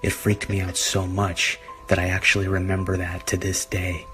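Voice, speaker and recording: Deep, even-toned male horror narrator. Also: normal speed. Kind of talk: scripted horror story narration. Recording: music behind the voice. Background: background music.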